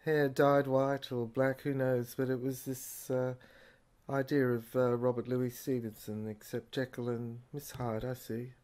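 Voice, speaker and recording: A man plays a musing investigator in an audio drama, thinking aloud over notes. He speaks slowly and hesitantly, muttering to himself in a low-key, conversational way. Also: flat delivery, darkish tone, lightly acted. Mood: puzzled, musing. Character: musing male investigator puzzling over someone's handwritten notes.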